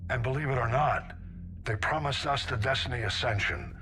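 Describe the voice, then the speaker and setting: An actor playing a gruff, seasoned older navy admiral in a video game, blunt and authoritative. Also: male voice, medium pitch, normal speed, sharp tone, slight background noise. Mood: gruff, businesslike. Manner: blunt, authoritative military briefing delivery. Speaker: military admiral. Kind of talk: scripted video game acting.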